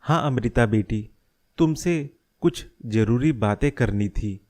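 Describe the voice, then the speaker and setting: Slow-talking earnest father, acted by a man. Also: fairly low pitch, lively intonation, a little mellow. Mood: earnest, persuading. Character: worried middle-aged father scheming to protect his family. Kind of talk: Hindi animated moral story dialogue.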